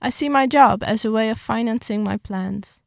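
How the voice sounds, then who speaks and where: A young woman with a gravelly, slightly creaky voice, recorded dry and close with no room sound. She chats with some hesitation, then reads her article aloud steadily. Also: fairly high pitch, normal speed, no room sound. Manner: hesitant with fillers when chatting, steady when reading. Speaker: writer reading her article. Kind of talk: casual chat, then reading an article aloud.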